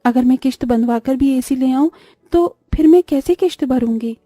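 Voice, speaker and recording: A poor, dutiful young daughter from a moral-story cartoon, acted by a woman. She speaks earnestly and gently in a high, very mellow voice, recorded dry over music. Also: normal speed, dry recording. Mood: worried.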